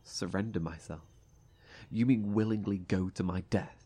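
An actor playing a blunt, distrustful, weary survivor in a horror audio drama. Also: male voice, low voice, moderate pace, mellow, husky. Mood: wary, shaken.

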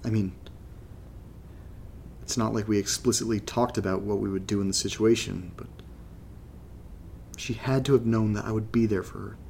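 Gravelly, congested young man, troubled and hesitant, confessional horror podcast diary, faint rumble behind. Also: fairly even intonation, normal speed, long pauses, darkish tone. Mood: troubled, searching. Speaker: podcast narrator in horror audio drama. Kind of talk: confessional audio diary, casual halting monologue.

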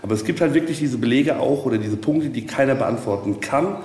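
A man's voice: medium pitch, expressive, brisk, darkish tone. A man guiding visitors informally, explaining stories in a loose way with many asides, recorded in a very reverberant space. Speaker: castle tour guide. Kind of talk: informal guided tour storytelling. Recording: very echoey, reverberant, noticeable background noise.

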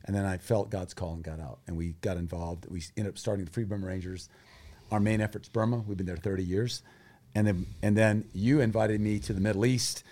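A guest who grew up in Thailand recounts hard experiences with intense, emotional urgency. His voice is low and dark, with a mellow warmth. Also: fairly even intonation, brisk. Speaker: guest, humanitarian group founder. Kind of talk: conversational interview talk.

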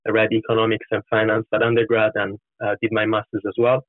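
Italian guy in his 20s explaining his startup, pretty monotone, sounds like a thin phone-like call. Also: on the low side, quick pace. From Florence, Italy. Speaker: startup co-founder, podcast guest. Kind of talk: conversational interview answers. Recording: roomy, narrow muffled call-like sound.

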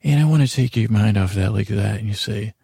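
A man hosting a bedtime podcast in a gravelly voice, with a meandering, halting monologue that keeps interrupting itself and wandering off. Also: lowish voice, mellow, no room sound, clean studio sound. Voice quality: gravelly, creaky. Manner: meandering, halting, self-interrupting, trails off on tangents. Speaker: podcast host.

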